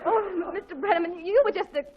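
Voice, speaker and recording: High, fluttery, doting mother. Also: a little fast, over a noisy crowd. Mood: cooing, flustered. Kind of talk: 1940s radio comedy acting. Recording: dull, noisy old 1940s radio recording.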